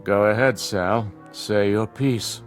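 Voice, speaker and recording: Stern, bitter older father, lowish voice. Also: slow pace, faint music behind. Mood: cold, skeptical. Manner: formal, dry, cutting. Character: stern, bitter ailing father, protective of his daughter. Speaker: actor in a television drama. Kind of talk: scripted TV drama acting.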